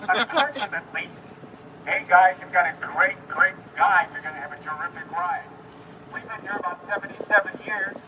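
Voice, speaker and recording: Older american man, high voice, chatting to tourists outside, far from mic, wind and boat noise. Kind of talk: casual greeting called out to tourists. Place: outdoors by a lake. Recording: distant, windy outdoor recording, boat noise.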